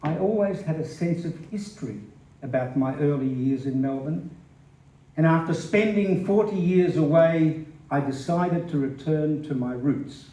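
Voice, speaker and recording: A man's voice: a little high, a little slow, warm tone, natural voice. A man giving a reflective dramatic reading of an older person's memoir at a live indoor event. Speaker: stage reader. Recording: echoey hall, distant mic, faint hiss.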